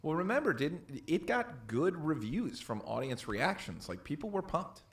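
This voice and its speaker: A man's voice: a little high, big pitch swings, moderate pace. A man co-hosting a podcast in casual banter, with a teasing, argumentative way of talking. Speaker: podcast co-host.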